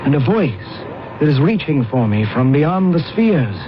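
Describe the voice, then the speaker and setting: An actor playing a ruthless, haunted space pilot in an intense, dramatic old radio drama. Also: male voice, a little high, very animated, normal speed. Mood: haunted narration. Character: ruthless, haunted male space pilot, not human, narrating his betrayal. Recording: muffled, very noisy old radio recording.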